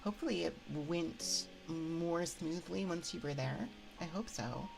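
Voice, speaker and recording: A woman's voice: fairly low pitch, measured pace, creaky, rough recording. A woman hosting a livestream, chatting casually with viewers in a hesitant, rambling, giggly way into a binaural ASMR microphone. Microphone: binaural 3Dio ASMR microphone. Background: music.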